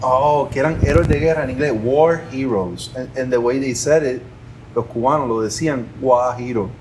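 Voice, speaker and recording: Relaxed man interpreting for a guest. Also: mid-range voice, brisk, noisy background. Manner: relaxed, filler-heavy, translating for the guest. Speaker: podcast host and interpreter. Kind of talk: casual podcast chat.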